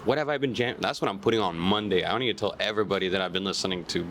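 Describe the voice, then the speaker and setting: A man's voice: low-pitched, rapid-fire, a bit bright, a little hiss or hum. A man in his late twenties, a band member chatting with enthusiasm and casual swearing. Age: 20s. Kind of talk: casual chat.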